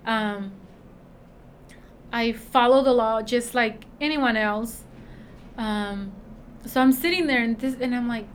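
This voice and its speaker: A woman with a Mexican accent who works at a school, answering interview questions in a halting way, with many fillers and restarts. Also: on the high side, slight background noise. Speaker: school staff member.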